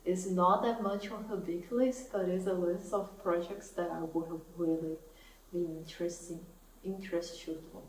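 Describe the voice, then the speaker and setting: A young woman speaks English, slowly and thoughtfully, in an easy vlog chat. She is recorded from a little way off the mic, in an echoey room with a faint hiss underneath. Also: on the low side, steady tone, far from the mic. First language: Portuguese. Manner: soft-spoken, thoughtful, laughs often. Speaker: knitting vlogger. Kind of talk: casual chat to camera.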